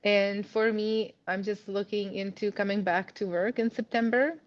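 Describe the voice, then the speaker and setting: A woman's voice: medium pitch, fairly even intonation, normal speed, lo-fi sound. A woman in her thirties with a Czech accent, chatting as an interview guest, laughing often and full of "like" and "you know". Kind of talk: casual interview.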